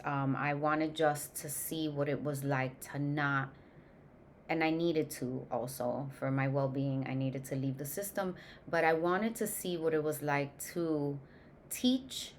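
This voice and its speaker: A woman in her forties talks in a low voice, introducing herself to the viewers. She goes at an easy pace, filling gaps with ums and fixing her phrasing as she goes. A faint hiss of noise sits under the recording. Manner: unhurried, many ums, loose self-corrections. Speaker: course host, education founder. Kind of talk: personal introduction, casual talk to camera.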